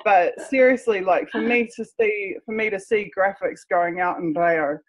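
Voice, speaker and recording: A woman's voice: medium pitch, moderate pace, highs cut off. A woman from New Zealand with a strong New Zealand accent, interviewing in a casual, earnest way that turns emotional and repeats words.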